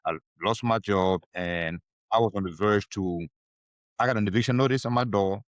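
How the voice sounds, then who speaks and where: A man's voice: on the low side, moderate pace, no room sound. A man from Ivory Coast with an Ivorian French accent, a native French speaker, answering interview questions hesitantly with many fillers. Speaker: podcast guest. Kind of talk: casual interview talk.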